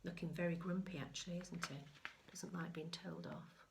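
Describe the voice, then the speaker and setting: A woman reading a picture book aloud to young children, mixing expressive sing-song lines with hushed chatty asides. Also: lowish voice, moderate pace, gravelly, noisy background. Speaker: infant school story reader.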